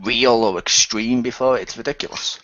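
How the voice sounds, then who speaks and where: A man's voice: medium pitch, normal speed, pushed and bright, no room sound, muffled recording, close to an everyday voice. A man playing a skeptical, wisecracking ghost hunter recruit in an improvised role-play radio play, chatty and sarcastic.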